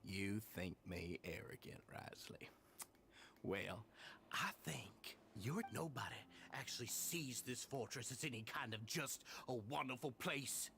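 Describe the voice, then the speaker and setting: A man's voice: medium pitch, lively intonation, a little slow, vocal fry, pushed and bright, a little hiss or hum, heavily acted. An actor playing a cruel, arrogant male prison overseer in a video game, sneering and scornful, with music behind. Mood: menacing. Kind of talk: game voice acting.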